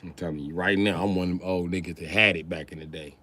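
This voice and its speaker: A man's voice: sits low, steady tone, fast, sharp tone, a little hiss or hum. A middle-aged man livestreaming a profane, boastful comedic rant.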